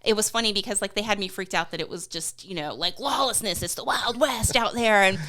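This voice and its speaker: A woman's voice: mid-range voice, a little fast, bright, forceful tone. A middle-aged American woman chatting casually and enthusiastically as she hosts a vlog, in an informal way. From California, United States. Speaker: vlog host. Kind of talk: casual conversational recap.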